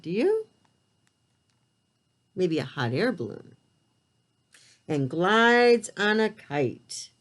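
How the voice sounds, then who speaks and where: A woman reading a picture book aloud to young children, expressive and talking to them in simple, child-directed language. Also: on the low side. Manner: expressive storytelling delivery. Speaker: preschool read-aloud storyteller.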